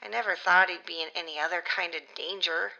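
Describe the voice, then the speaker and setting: A middle-aged actress playing a grieving mother of a missing son, halting and emotional, in a naturalistic audio drama interview. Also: fairly low pitch, fairly even intonation, gravelly, bright, forceful tone, muffled recording, heavily acted. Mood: weary, regretful.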